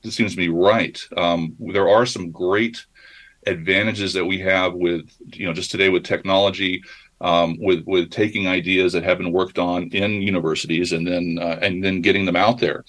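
A man with a very low voice answers a radio host's questions. He speaks modestly and haltingly. He often repeats words and says uh and um as he works out his thought. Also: fairly even intonation, a bit bright. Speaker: guest from a civic project.

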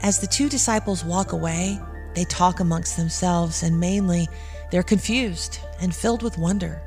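A woman narrating a scripted audio drama in a measured, evocative storytelling way. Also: fairly low pitch, a little mellow. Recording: clear music bed underneath.

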